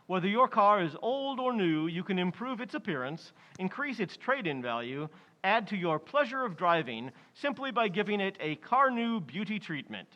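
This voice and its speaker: An actor playing an enthusiastic, polished sponsor announcer, selling in 1940s radio style. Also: male voice, high voice, pushed and bright, muffled recording, full character voice. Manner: polished, salesmanlike. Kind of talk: 1940s radio advertising pitch.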